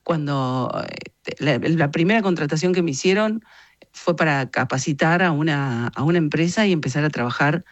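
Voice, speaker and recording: A woman's voice: low-pitched, normal speed, muffled recording. A woman in her fifties with an Argentine Rioplatense accent, answering interview questions reflectively in an explanatory, emphatic way. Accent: Argentine Rioplatense Spanish. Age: 50s. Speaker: interview guest, journalist and communications consultant.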